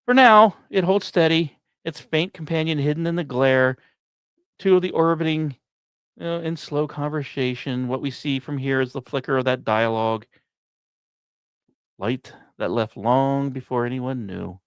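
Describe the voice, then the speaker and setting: A man hosting a science podcast, reading scripted narration in a measured storytelling way with deliberate pauses. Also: mid-range voice, clean tone, no echo. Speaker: podcast host.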